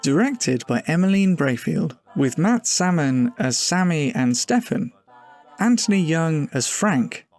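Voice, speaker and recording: Higher-pitched man announcing credits. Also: sing-song, a little slow, darkish tone. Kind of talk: scripted credits read.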